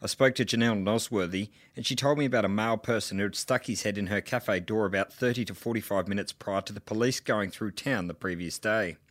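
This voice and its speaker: A man with a slightly low voice reads a police statement aloud. His delivery is formal and official, itemising details. The sound is very dry, with no room around it. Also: a little fast, hardly any pauses, fairly bright tone, no echo.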